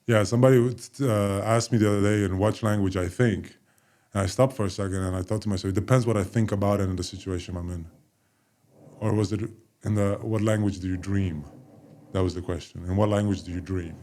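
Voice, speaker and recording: A man in his forties with a very low voice co-hosts a podcast. He talks about himself in a loose, unhurried way, drifting between thoughts and leaning on plenty of fillers. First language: Polish. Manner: relaxed, loose, rambling with many fillers. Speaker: podcast co-host, former basketball player. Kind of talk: casual conversation.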